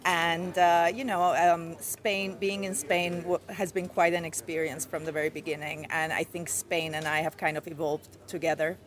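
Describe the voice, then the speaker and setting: A woman from Iran whose first language is Farsi, a guest poet talking thoughtfully in a casual interview outdoors, with soft fillers. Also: on the low side, little pitch movement, moderate pace, few pauses. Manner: reflective, with soft fillers and light laughs. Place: outdoors outside a bookshop.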